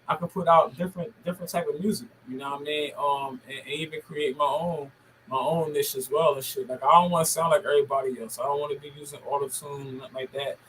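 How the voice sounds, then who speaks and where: An American woman from Camden with a deep voice, chatting casually as an interview guest in a bossy, assertive manner with heavy swearing. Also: steady tone, quick pace, fairly bright tone, slight background noise, rough recording. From Camden, United States. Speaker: podcast guest, music artist.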